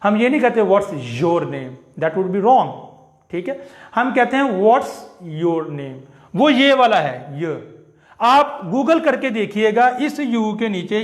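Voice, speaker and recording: A man teaching an online English phonetics class, slowly repeating each sound and example phrase. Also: sits high, reverberant.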